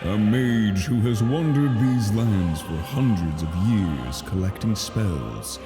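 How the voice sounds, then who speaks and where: A man's voice: on the low side, lively intonation, slow. A man voicing a solemn, menacing video game boss announcer, narrating in a portentous, dramatic way. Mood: ominous, reverent. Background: dramatic music.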